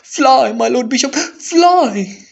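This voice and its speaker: A panicked male servant, acted by a man reciting verse, cries out alarm to his lord. The voice is pushed high and loud with urgency, in a slightly dull, lo-fi recording. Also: lively intonation, slow, creaky, heavily acted. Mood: panicked, urgent. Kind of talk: dramatic poetry recital.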